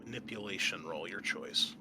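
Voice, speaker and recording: A man narrating a tabletop roleplaying game as game master, pausing often mid-sentence. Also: low voice, noisy background.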